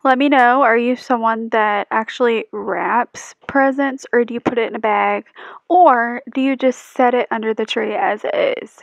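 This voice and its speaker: A woman's voice: a little high, fairly even intonation, normal speed, creaky, no echo. Chatty young woman. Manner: chatty, asks viewers questions. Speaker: vlogger. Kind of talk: casual shop-with-me voice-over. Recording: dull dry sound under music.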